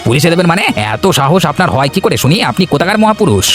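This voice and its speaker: A man's voice: on the high side, very animated, very fast, faint music behind. A man voicing a hot-headed, argumentative village husband in a cartoon. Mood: angry, confrontational. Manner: blustering, argumentative. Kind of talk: Bengali cartoon voice acting.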